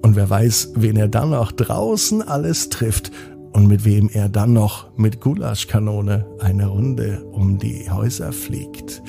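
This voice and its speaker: A man narrating a scripted children's bedtime story, gently speaking to the young listener in a slightly husky, gravelly voice. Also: on the low side, animated, slow pace, over music.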